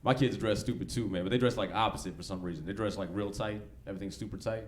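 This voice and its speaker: Laid-back, low-voiced American man doing stand-up. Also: quick pace. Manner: laid-back, slangy, profane delivery. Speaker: stand-up comedian. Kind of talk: live stand-up comedy, conversational. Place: comedy club stage. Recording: live club recording with background noise.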